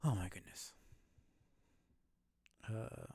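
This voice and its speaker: A man's voice: drawn-out words, warm tone. A male streamer giving casual live commentary while playing a game, muttering and swearing as he goes.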